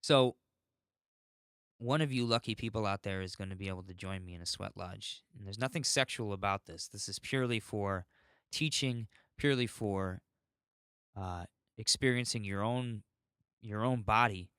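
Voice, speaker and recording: Low-voiced American man, unfiltered podcast rambler. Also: normal speed, fairly bright tone, smooth, no echo, clean studio sound. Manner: unfiltered, sweary, ranting. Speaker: podcast host. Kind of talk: rambling solo podcast monologue.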